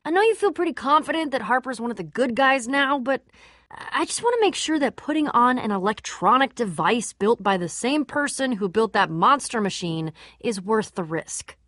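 A woman's voice: a little high, expressive, normal speed, fairly bright tone, no room sound, clean studio sound. An actress playing a tough, guarded young female adventurer in a video game, casual, candid and dryly sarcastic. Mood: earnest, subdued. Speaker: game voice actor. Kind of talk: video game character dialogue.

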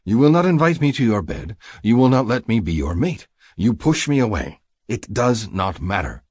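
A male narrator plays an earnest, possessive hunter declaring himself in an audiobook romance. The voice is low and husky, swinging widely in pitch. His phrasing is formal and intense, with no contractions. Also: sing-song, moderate pace, a little mellow, dry recording, studio quality. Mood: frustrated, intense.

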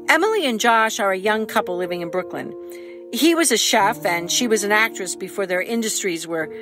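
A woman reading a scripted introduction to an audio drama as its writer. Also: mid-range voice.

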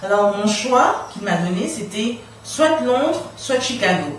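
A middle-aged woman from the Democratic Republic of the Congo telling personal stories casually as an interview guest, in an animated way. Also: on the high side, measured pace. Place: small radio studio room, indoors. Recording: very echoey room, distant, faint noise.

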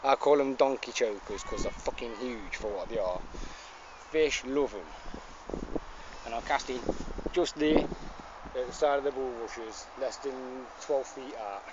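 A man gives casual, sweary, excitable commentary while fishing outdoors, often talking to the fish. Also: mid-range voice, a little slow. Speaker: angler presenting his fishing video. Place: outdoors on a lake bank. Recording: dull lo-fi audio with loud outdoor noise.

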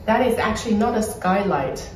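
A woman's voice: mid-range voice, animated, normal speed, a little mellow, distant mic, slight background noise. A woman, walking through a renovated kitchen and explaining what was done, filmed on a phone camera. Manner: explanatory, pointing things out as she walks. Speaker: property flipper showing a renovation. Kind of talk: casual home tour walkthrough. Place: bright empty renovated kitchen, indoors. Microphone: phone camera held a few feet away. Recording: echoey room, voice a bit off-mic.

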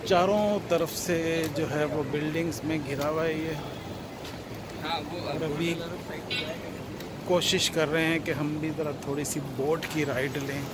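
A man's voice: on the high side, unhurried, long pauses. A man in his fifties narrating a casual travel vlog on a city waterfront at night, talking straight to his viewers through a phone held at arm's length. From Pakistan. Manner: relaxed, addresses viewers directly. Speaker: vlogger. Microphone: phone camera held at arm's length. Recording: loud outdoor street noise. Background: city traffic and waterfront bustle.

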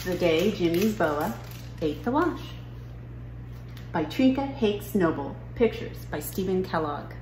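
A woman's voice: on the low side, animated, unhurried, a little mellow. A middle-aged woman talking to children as an educational story time presenter, recorded indoors in a living room. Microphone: camera a few feet away. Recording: roomy room sound, faint noise.

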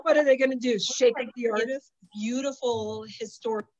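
A middle-aged woman on a video call from an outdoor terrace, a little sniffly, chatting casually and telling animated stories with frequent laughter. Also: a little high, normal speed, muffled recording. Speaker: psychic channel host. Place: outdoor terrace with potted plants. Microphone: laptop webcam on a video call.

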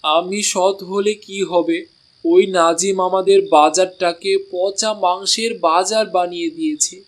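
A man's voice: high voice, fairly even intonation, measured pace. A man voicing an honest, humble village butcher in a cartoon, with an earnest and plaintive way of talking. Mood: dejected. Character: honest, downtrodden village meat seller, a husband. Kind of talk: Bengali cartoon dialogue. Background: soft music.

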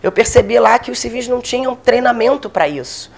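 A woman's voice: a little high, animated, fairly quick, creaky. Woman officer lecturing with handheld mic. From Brazil. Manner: conversational, many fillers, gestures while speaking. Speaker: military officer giving a lecture. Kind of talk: informal lecture. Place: small meeting room, indoors. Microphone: handheld microphone.

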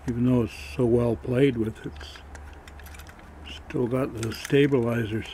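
Relaxed man showing off toy finds. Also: medium pitch, slight background noise. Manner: relaxed, matter-of-fact, pausing as he handles the toys. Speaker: toy collector showing flea market finds. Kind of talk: casual show-and-tell narration.

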